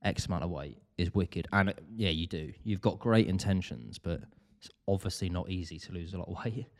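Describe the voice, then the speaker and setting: Wry man, deep voice. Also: brisk. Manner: wry, chatty, laughs often. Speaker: podcast co-host. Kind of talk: casual podcast chat.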